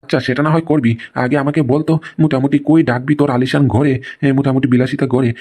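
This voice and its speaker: Man playing a fast, mellow-toned grumbling cartoon patriarch. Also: mid-range voice, steady tone, natural voice. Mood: grumbling, scornful. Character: stubborn, grumbling male head of a squabbling family. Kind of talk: Bengali comedy cartoon dialogue.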